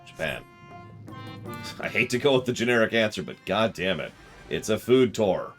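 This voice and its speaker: A man's voice: on the low side, lively intonation, moderate pace, fairly bright tone, soft background music. A man streaming a game, chatting casually with viewers and rambling in a self-deprecating way. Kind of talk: casual live-stream chat while gaming.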